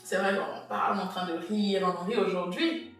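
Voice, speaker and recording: A woman's voice: mid-range voice, steady tone, brisk. A woman co-hosting a casual chat podcast, playful and chatty. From Congolese heritage. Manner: playful, chatty, reacts loudly. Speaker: podcast co-host. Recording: echoey room from far off, soft music.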